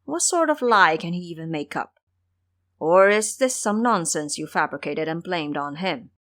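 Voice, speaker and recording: A woman voicing a gentle, trusting male monk master in an audio drama, mild and earnest. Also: on the low side, lively intonation, normal speed, dry recording, studio quality. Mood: doubting.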